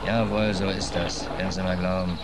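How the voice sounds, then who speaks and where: Casting: male actor for a sardonic stateless drifter sailor, colloquial, lower-pitched, vintage radio play with clear noise behind. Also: little pitch movement, brisk, natural voice. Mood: bitter, resigned. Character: down-and-out stateless American sailor, stubborn, wry drifter. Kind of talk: 1940s German radio play acting. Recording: noisy old radio recording, harbour ambience.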